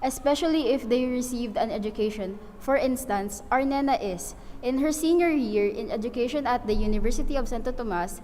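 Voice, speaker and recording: A breezy Filipino mother acted by a woman with a fairly high voice and Filipino accent. She protests airily in amateur radio play style, in long echoing reverb. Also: fairly quick, hardly any pauses, dark tone, a little hiss or hum, natural voice. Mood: defensive. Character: breezy, modern-minded Filipino wife and mother. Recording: reverberant hall-like echo.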